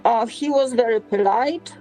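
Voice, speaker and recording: A woman in her sixties from Poland with a Polish accent, telling her own story in an interview and often pausing on fillers. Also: on the high side, mellow, soft background music, rough recording. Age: 60s. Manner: halting, with frequent fillers.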